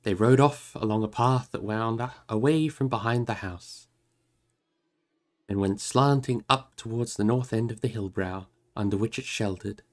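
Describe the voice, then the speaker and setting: A man's voice: lowish voice, normal speed. A man narrating a book aloud on a livestream, moving between storybook reading and casual chat with listeners.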